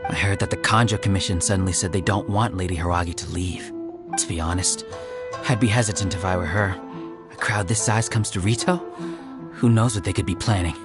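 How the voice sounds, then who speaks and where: A man's voice: deep voice, steady tone, fairly quick, loud music behind the voice, close to an everyday voice. A man voicing an uneasy, gossiping townsman in a video game, worried and suspicious. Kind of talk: game voice acting.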